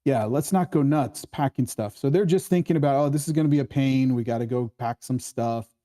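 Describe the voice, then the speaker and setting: A man's voice: medium pitch, brisk, warm tone. A man hosting a casual workshop discussion, explaining things conversationally and checking in with his listeners. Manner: acts out quoted dialogue while explaining, often checking with "right?". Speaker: workshop host leading a screenplay discussion.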